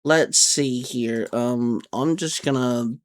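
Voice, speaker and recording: A man's voice: mid-range voice, slow, heavy background noise. A man hosting casually, talking in an informal, hesitant, improvised way. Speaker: YouTube channel host. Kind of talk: casual, improvised skit and chat.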